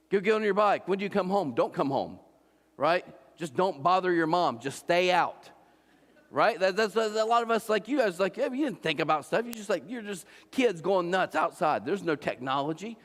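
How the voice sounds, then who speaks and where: A man's voice: sits high, lively intonation, moderate pace, a bit bright. A man in his forties from Ohio, preaching a sermon in a loose, conversational way, with restarts and frequent "right?" asides to the congregation. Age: 40s. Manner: conversational, repeats and restarts, asks the crowd "right?". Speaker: pastor. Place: church auditorium. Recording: long reverb of a large church hall.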